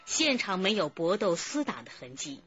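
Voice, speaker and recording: Female crime-drama narrator: expressive intonation, scripted delivery, slightly muffled recording with light music. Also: on the low side, moderate pace. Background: background music.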